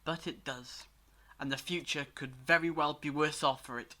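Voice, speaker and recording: Audio drama time traveller, acted: knowing, portentous, measured, mid-pitched voice. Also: fairly even intonation, bright, forceful tone, lightly acted, rough recording. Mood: urgent warning. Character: grave knowing time traveller explaining an ancient threat.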